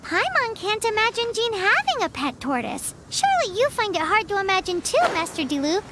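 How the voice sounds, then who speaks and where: An extremely high, childlike fairy companion from an anime game. She is excitable and exclamatory, with wide swoops in pitch. Clear noise sits behind the voice. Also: a little fast. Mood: puzzled, surprised. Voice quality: squeaky, childlike. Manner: refers to self in third person, exclamatory. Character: tiny excitable floating fairy girl companion.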